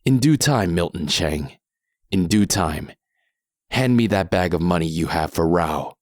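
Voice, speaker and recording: An actor playing a calm masked mercenary in an audio drama, measured, unhurried and coolly threatening. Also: male voice, low voice, dry recording, studio quality. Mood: cool, assured. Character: calm masked mercenary man, cool and menacing.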